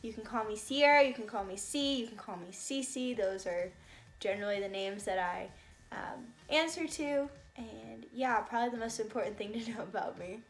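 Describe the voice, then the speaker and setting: A woman's voice: fairly high pitch, normal speed, soft background music. A bubbly, chatty young woman in her twenties with an American accent, talking casually to camera on her vlog. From United States. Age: young adult. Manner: bubbly, chatty, laughs often. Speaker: vlogger.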